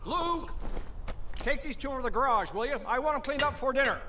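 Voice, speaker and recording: A man's voice: very high-pitched, lively intonation, quick pace, noisy background. A man playing a brusque, bossy older farmer uncle in a game cutscene. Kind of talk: animated game cutscene acting.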